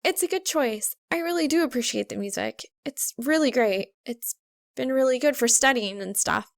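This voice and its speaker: Woman acting flustered young female student, high-pitched, halting, earnest, defensive, audiobook character, dry sound. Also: lively intonation, gravelly, no room sound. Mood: awkward, forced cheer. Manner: halting, stammering, blurting.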